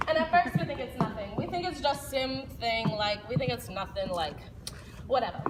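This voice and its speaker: A woman acting an excitable, streetwise young city girl in live theater, with animated, slangy, dramatic delivery. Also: on the high side, normal speed, close to an everyday voice. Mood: excited storytelling. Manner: animated, slangy, breathless dramatic build-ups. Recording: noisy echoey hall, distant mic.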